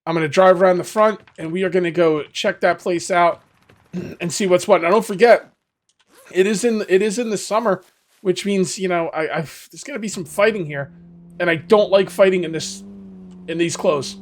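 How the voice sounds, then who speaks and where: A man with a hoarse, fading voice, casually commenting on his survival game as he plays and rambling to his viewers. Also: sits high, normal speed. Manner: rambling, self-correcting, talks to viewers. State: losing his voice. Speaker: gaming video host. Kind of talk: casual let's-play commentary.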